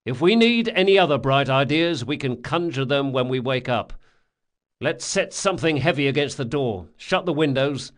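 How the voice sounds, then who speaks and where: A man voicing a shrewd male leader of thieves in an audiobook, giving brisk, emphatic orders. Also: medium pitch, lively intonation, voice pushed up, natural voice. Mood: decisive.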